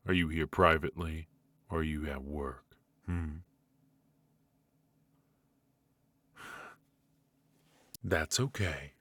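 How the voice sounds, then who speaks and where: Male mafia boss, acted by a man, extremely deep and husky, calm, controlling menace, intimate dry noir ASMR roleplay. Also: dry recording, full character voice. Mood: mock-courteous. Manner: measured, mock-courteous, quietly threatening. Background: faint music.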